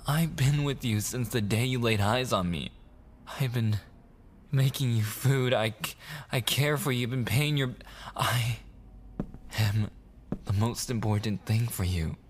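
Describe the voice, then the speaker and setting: Guy doing a jealous obsessive boyfriend asmr roleplay, really slow soft pleading w whispers, kinda menacing, noisy background. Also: medium pitch, natural voice. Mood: possessive, pleading. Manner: pleading, halting and repetitive, slipping into breathy whispers.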